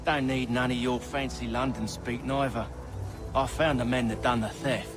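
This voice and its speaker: An actor playing a rough, surly mercenary in a video game, talking in a gruff, slangy, defiant way. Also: male voice, medium pitch, steady tone, normal speed, natural voice. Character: rough, surly, mercenary hired man, uneducated. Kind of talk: video game cutscene acting. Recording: loud background music.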